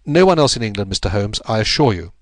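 A man reading aloud, voicing an earnest younger statesman who explains in a hurried, anxious way. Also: lowish voice, sing-song, no echo.

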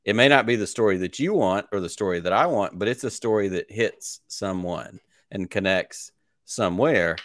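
Dry, low-voiced man in his forties. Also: moderate pace, fairly bright tone, no echo. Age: 40s. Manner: enthusiastic, long-winded questions. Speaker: podcast host. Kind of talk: casual interview chat.

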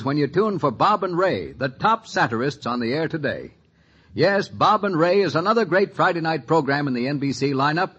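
American male promo announcer, vintage radio style, fairly high, rough-edged voice, dull broadcast sound. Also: fairly bright tone. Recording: muffled old radio broadcast recording.

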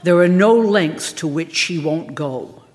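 A woman's voice: low-pitched, expressive, takes it slowly, darkish tone. A woman voicing an imperious, dramatic famous stage actress who talks in a sulky, indignant way. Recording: echoey reverberant room.

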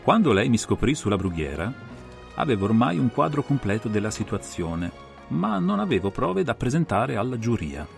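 A man playing a brilliant, self-assured detective who explains a case in a measured, didactic, theatrical way for a dramatised audiobook. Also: mid-range voice, big pitch swings, gravelly. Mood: methodical, assured. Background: music.

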